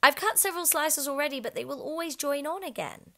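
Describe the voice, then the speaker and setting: A woman's voice: high-pitched, lively intonation, a little fast, fairly bright tone, voice pushed up. A well-mannered young girl from a storybook, read by a woman, earnest and curious. She speaks with polite sincerity.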